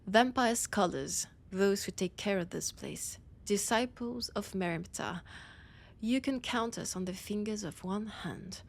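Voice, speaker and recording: A woman playing a possessive vampire scholar with a French accent, in a theatrical, breathy audio roleplay monologue with dramatic pauses. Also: mid-range voice, slight background noise. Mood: haughty, hungry. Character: annoyed, possessive female vampire scholar, ancient library guide.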